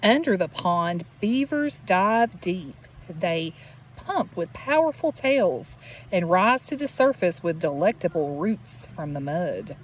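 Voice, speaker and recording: A woman teacher reading a picture book aloud to children, deliberate and expressive, with questions and asides to her listeners. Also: lowish voice, husky, low-quality recording. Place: outdoors beside a natural pond. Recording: steady outdoor background noise. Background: birds and outdoor ambience.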